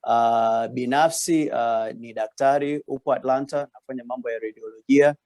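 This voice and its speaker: A man's voice: medium pitch, fairly even intonation, moderate pace, darkish tone, no room sound. A man, a radiologist, speaking over a video call in a hesitant manner, full of fillers and switching between Swahili and English. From Tanzania.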